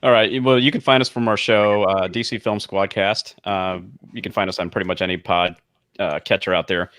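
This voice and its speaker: A man's voice: on the low side, steady tone, fairly quick, fairly bright tone, dry recording. A man guesting on a casual podcast over a video call, joking and self-deprecating. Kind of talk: casual chat.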